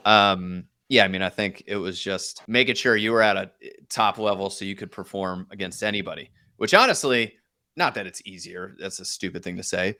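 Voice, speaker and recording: A man's voice: sits low, moderate pace, pushed and bright. A man, a podcast guest, telling stories casually in a self-deprecating, joking way. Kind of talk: casual conversational storytelling.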